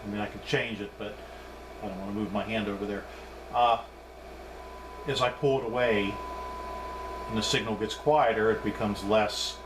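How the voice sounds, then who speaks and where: A man giving a casual, off-the-cuff hands-on equipment review, thinking aloud in a hesitant way. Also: fairly low pitch. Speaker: reviewer demonstrating radio equipment. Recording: clearly noisy background.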